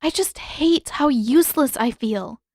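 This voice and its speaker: A woman's voice: high-pitched, expressive, a little slow, darkish tone, dry recording, studio quality, voice pushed up. A woman voicing a mopey, teasing teenage girl with a playful grumble in an audiobook story. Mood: frustrated. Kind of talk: audiobook character acting.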